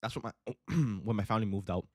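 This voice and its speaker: A man's voice: on the low side, steady tone, fast, darkish tone, dry recording, clean studio sound. A young man with a Miami accent, born in Italy with Italian as his first language, rambling casually to his livestream chat and saying "bro" a lot. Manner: rambling, filler-heavy, says bro a lot. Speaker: day trading livestream host. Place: home trading setup in Miami.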